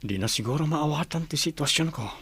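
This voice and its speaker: A man's voice: a little high, expressive, fairly quick, fairly bright tone, slightly rough, heavily acted. An actor playing a troubled, earnest village husband and father in an Ilocano radio drama, with an Ilocano accent. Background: music.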